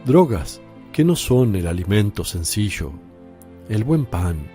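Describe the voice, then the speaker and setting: Radio play: Jesus, gentle grave preacher, mellow low voice, unhurried, faint music under, played by a man. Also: sing-song. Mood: preaching. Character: Jesus, calm gentle male teacher and preacher. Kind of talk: radio drama acting.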